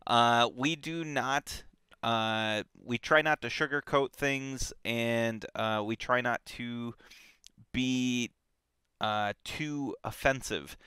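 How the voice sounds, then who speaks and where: A man co-hosting a live book discussion stream, relaxed and blunt, with many fillers. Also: mid-range voice, slow pace, clear, resonant tone, no echo, clean studio sound. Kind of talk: casual live-stream chat.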